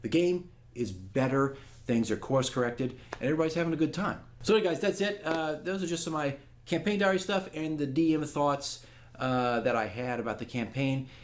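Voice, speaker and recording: A man's voice: on the high side, fairly quick. Candid man reflecting as a dungeon master. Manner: earnest, self-critical, conversational. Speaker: dungeon master giving a campaign diary. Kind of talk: candid casual monologue to viewers.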